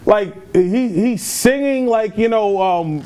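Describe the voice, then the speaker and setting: A man's voice: sits high, slow, warm tone, slight background noise. A man co-hosting a casual film review chat, opinionated and often repeating himself for emphasis. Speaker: podcast co-host reviewing films.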